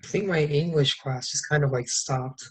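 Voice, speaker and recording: A man's voice: a little high, normal speed, husky, low-quality recording. Easygoing male student on a video call. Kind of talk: casual chat.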